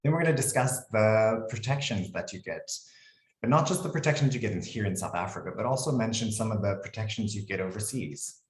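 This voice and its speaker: A man with an American accent, a lawyer presenting a webinar in a relaxed, conversational way. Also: fairly low pitch, a little fast, reverberant, low-quality recording. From United States. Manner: relaxed, conversational, frequent ums and "cool".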